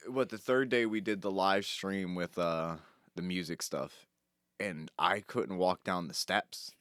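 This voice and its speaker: A man's voice: low voice, unhurried, fairly bright tone, no room sound, clean studio sound. A man co-hosting a casual podcast, chatty and laughing mid-sentence. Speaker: podcast co-host. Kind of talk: casual chat.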